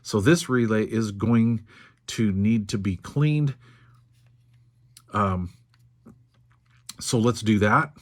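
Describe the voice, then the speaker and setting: An older American man casually narrating a hands-on repair in a folksy, unhurried way, thinking aloud as he goes. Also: fairly low pitch. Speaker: radio repair video host.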